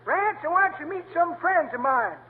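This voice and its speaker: A boastful old-timer with a shrill, wildly swooping voice hollers and cackles. He is folksy and a little deaf. The sound is a thin, hiss-filled old radio recording. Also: lively intonation, moderate pace. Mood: cackling. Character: cackling old man, hard of hearing, boastful. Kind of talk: 1940s radio comedy acting. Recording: tinny, hissy old radio broadcast.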